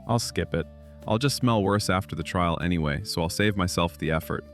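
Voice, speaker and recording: Man playing a cocky low-voiced wolfish sorcerer. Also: brisk, faint music behind. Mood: wry, teasing. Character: cocky, teasing young male wolfish sorcerer. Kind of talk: narrated fantasy audio drama.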